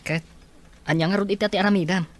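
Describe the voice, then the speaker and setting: A man playing a gently persuasive, earnest young male student in an audio drama, with an Ilocano Filipino accent. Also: high voice, fairly even intonation, rapid-fire, natural voice. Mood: curious.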